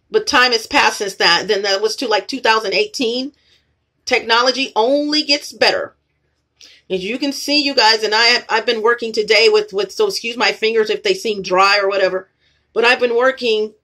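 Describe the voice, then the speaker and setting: A woman's voice: fairly high pitch, bright, forceful tone. A woman vlogging in her apartment, rambling and repeating herself as she talks straight to her viewers while filming with a phone. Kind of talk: rambling casual talk to camera. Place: apartment room, indoors. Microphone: phone camera pointed at the ceiling.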